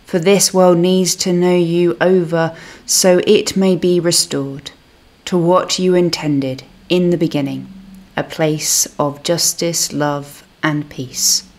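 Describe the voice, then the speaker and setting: A woman minister reading a scripted devotional reflection in a measured, solemn manner. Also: on the low side, fairly even intonation, a little mellow.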